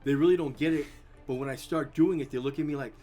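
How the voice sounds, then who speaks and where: A middle-aged man chats as a guest in an easygoing, rambling way. His voice is a little dry and worn from talking. Faint music plays softly behind him. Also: a little high, quick pace. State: voice drying up. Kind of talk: casual chat.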